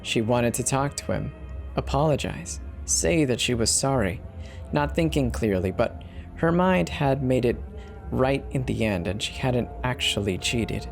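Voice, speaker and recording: Male narrator for scripted horror tales, dramatic delivery, mid-pitched voice, soft music bed. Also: lively intonation, a little slow, creaky. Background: background music.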